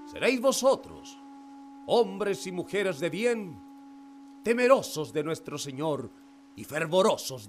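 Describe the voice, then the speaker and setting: Slow, solemn missionary priest. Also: male voice, on the high side. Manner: preaching, measured. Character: solemn, devout colonial Jesuit missionary priest. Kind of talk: radio drama acting.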